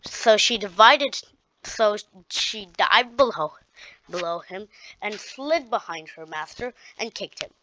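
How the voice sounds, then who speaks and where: A woman reading her own story aloud, halting often and restarting her sentences. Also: medium pitch, sharp tone, slight background noise. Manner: halting, with frequent restarts and repeated words. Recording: dull, dry lo-fi recording.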